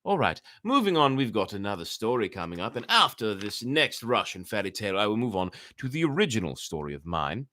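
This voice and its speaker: A man with a fairly low, very animated voice hosts a story time for online listeners. He moves between storybook reading and loose, rambling asides, and the sound is close and dry. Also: brisk, few pauses, no room sound. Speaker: host reading stories to a live online audience.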